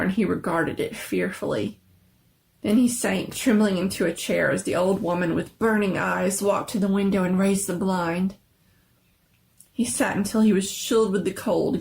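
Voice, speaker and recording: A woman storyteller narrates a scary tale in a theatrical, suspenseful style. The voice sits at an average pitch with plenty of fry, and the phrasing is deliberate. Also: a little mellow, a little hiss or hum. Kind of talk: dramatic scripted story narration.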